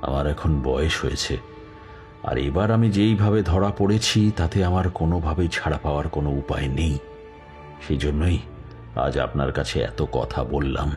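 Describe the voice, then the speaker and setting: An aging bandit chief makes an unrepentant confession in an audio drama. His voice is deep and low, and his phrasing is deliberate and proud, with dramatic pauses. Music plays faintly behind him. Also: a little mellow. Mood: resigned, accusing. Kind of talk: dramatised audio story monologue, first-person confession.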